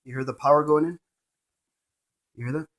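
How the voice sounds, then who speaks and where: A man's voice: a little high, steady tone, a little fast, a bit bright, slight background noise. A man presenting a casual hands-on gadget demonstration, narrating each step in short fragments.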